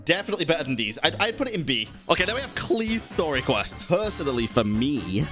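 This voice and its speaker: A man's voice: fairly high pitch, very animated, fast, music in the background. A man streaming casual commentary to his chat, talking emphatically, repeating himself and swearing freely. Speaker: streamer and YouTube host.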